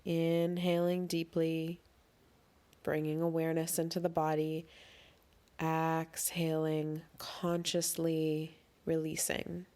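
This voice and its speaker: A woman leading a guided breathing meditation as an instructor, speaking in a gentle, soothing, instructional way. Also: deep voice, monotone, takes it slowly.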